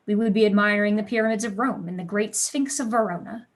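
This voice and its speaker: A woman's voice: medium pitch, fairly even intonation, a little fast, close to an everyday voice. A woman playing a precocious, passionate teenage pupil in a staged period play, eager, witty and argumentative. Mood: impassioned.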